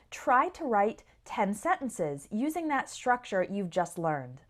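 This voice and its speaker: A woman English teacher giving an instructional lesson, explaining things clearly and speaking directly to learners. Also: a little high. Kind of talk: instructional lesson to camera or listeners.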